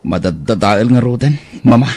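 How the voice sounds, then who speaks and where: A man with an Ilocano accent acting a troubled, stubborn husband in a radio drama, with a husky voice. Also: medium pitch, animated, normal speed, a little mellow, natural voice. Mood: downcast. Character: troubled, stubborn married man, frustrated and wary.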